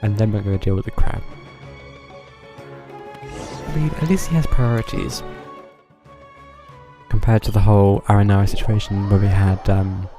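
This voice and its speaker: A man's voice: fairly low pitch, fairly even intonation, moderate pace, long pauses, music in the background. A man giving casual, dryly sarcastic live commentary as he streams a game.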